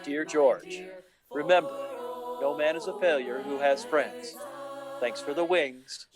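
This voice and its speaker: A man's voice: fairly high pitch, expressive, unhurried, over music. An amateur actor playing a grateful small-town husband and father in a radio play, exclaiming and heartfelt. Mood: overjoyed.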